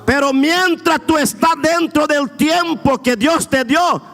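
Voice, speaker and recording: A middle-aged man preaching an emphatic, drawn-out sermon in Argentine border Spanish with a Brazilian-influenced accent. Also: extremely high pitch, vocal fry, a bit bright. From border area between Argentina and Brazil. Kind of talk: Pentecostal sermon. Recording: echoey hall with long reverb.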